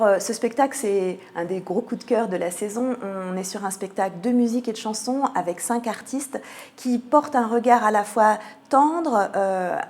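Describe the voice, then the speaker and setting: A woman answering in an interview as a theatre programming manager, often pausing mid-sentence as she presents a programme. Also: on the high side, darkish tone. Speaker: theatre cultural programming manager, radio guest.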